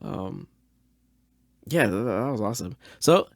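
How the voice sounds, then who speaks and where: Male podcast host, informal reaction chat, slightly low, expressive voice, dry sound. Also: unhurried, creaky, dry recording. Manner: informal, slangy.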